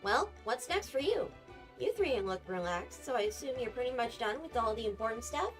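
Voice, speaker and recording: Woman playing an upbeat, animated young-sounding sporty coach. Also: a little high, normal speed, soft background music, close to an everyday voice. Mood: cheerful, curious. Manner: cheerful, breezy, encouraging. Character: upbeat, confident, sporty female athletics coach. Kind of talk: anime game voice acting.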